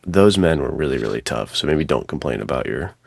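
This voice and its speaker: Very deep, gravelly man in casual chat. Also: sing-song, fairly quick. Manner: slangy, informal. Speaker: podcast co-host.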